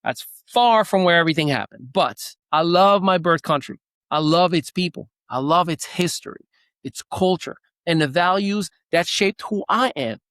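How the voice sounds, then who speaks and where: A man's voice: on the high side, lively intonation, moderate pace, a bit bright, dry recording, studio quality. A man born in Morocco hosting a news show, giving an earnest, opinionated commentary with occasional restarts and fillers. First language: Moroccan Arabic.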